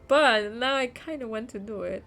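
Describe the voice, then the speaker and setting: A woman's voice: on the high side, fairly bright tone. A woman streamer with an Argentinian accent, chatting and laughing while playing a game live. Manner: chatty, giggly, reads aloud.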